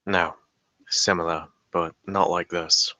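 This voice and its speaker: A man's voice: low-pitched, level tone, slow, bright, forceful tone, no echo, heavily acted. A man playing a weary, guarded police detective in a screenplay table read, speaking tersely and with restraint. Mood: guarded, laconic.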